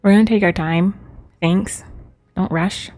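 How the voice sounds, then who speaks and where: A woman's voice: lowish voice, steady tone, a little slow, a little mellow. A woman live streaming a game, reacting casually in a playful, offhand way. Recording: faint game music behind voice.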